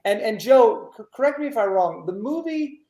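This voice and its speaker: A man's voice: very high-pitched, lively intonation, moderate pace, warm tone. A man hosting a conversational interview in an effusive way, often repeating his phrases as he goes. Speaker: host. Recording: echoey room sound.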